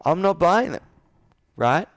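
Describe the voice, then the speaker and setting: A man's voice: high voice, very animated, slow, dry recording, studio quality. A man in his thirties working as a sales coach, talking persuasively and assertively, with probing rhetorical questions. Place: indoor office with a flip chart, on a video call.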